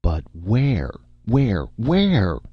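Storybook villainous boss: male reader as furious male movie director, loud, imperious, exasperated, rough-edged and swooping. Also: on the low side, huge pitch swings, drawn-out words, dry recording, muffled recording, pitched above the performer's own voice, heavily acted.

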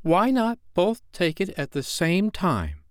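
A male storyteller voices an earnest young girl from an old storybook, eager to help and to please. The pitch is lifted well up and moves around in lively swoops. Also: slow pace, no echo, studio quality, full character voice. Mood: puzzled, earnest. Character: eager, helpful young girl, Victorian daughter. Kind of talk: storybook character reading.

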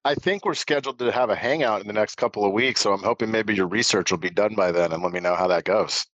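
A man hosting a casual podcast interview, asking questions in a relaxed, conversational way. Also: on the low side, fast talker, no room sound. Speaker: podcast host. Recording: dry, slightly muffled audio.

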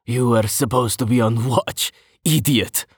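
A man's voice: medium pitch, fairly even intonation, a little slow, breathy edge, no room sound, studio quality. A man voicing a sardonic, grinning male adventurer who teases sarcastically in a fantasy audiobook. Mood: mocking. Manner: sarcastic, teasing.

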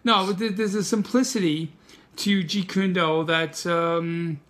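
Joking Brooklyn man, high voice. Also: fairly even intonation, measured pace, a bit bright. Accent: New York. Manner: joking, loose, self-deprecating. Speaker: co-host, martial arts teacher. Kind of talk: casual podcast chat.

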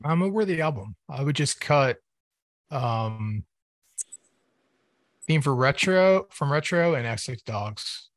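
A man's voice: mid-range voice, animated, slow pace, pauses often, no echo. A man giving his verdict in a casual album review as a podcast panelist.

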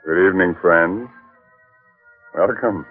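A man's voice: sits low, slow, over music. An actor playing a sinister male horror show host in 1940s radio style. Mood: ominous welcome. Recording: tinny vintage radio recording.

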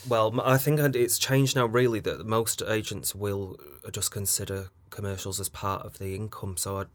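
A man with a lowish voice chats as a podcast guest. He hesitates a lot and laughs softly between thoughts. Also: steady tone, moderate pace, no echo. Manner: hesitant, laughs often, many fillers. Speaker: casting director guest. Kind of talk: relaxed podcast interview.

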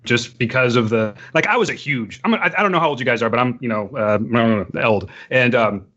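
A man's voice: mid-range voice, fast, fairly bright tone, dull audio. A man in his forties chatting over a video call, joking in a mock-grandiose way. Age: 40s. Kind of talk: casual chat.

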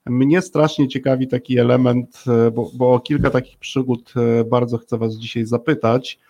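A man's voice: mid-range voice, fairly even intonation, moderate pace, darkish tone, dull audio. A man hosting a conversational interview, asking long, hesitant questions as he feels his way to the point. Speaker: podcast host interviewing guests.

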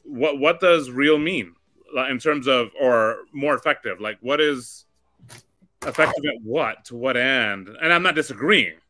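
A man with a bright, forceful voice talks through his thoughts in a casual conversation. He asks probing questions and works out his ideas as he goes. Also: medium pitch, a little slow. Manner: questioning, thinking aloud.

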